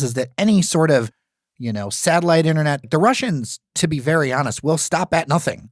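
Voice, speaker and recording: A man's voice: mid-range voice, no echo. Earnest man hosting, dry sound. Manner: earnest, emphatic, talks in long run-on sentences. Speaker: podcast host. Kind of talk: casual interview talk.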